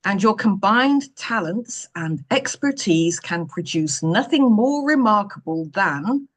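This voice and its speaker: A woman voicing a stern, exacting male boss in an audiobook, measured, clipped and pointedly formal. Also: mid-range voice, animated. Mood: sardonic, exasperated.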